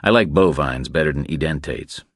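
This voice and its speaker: A man's voice: extremely low pitch, moderate pace, no echo, studio quality. A man voicing a cool, laconic drifter investigator with a terse, dryly wry delivery in a crime audiobook. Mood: deadpan, wry. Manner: deadpan, terse.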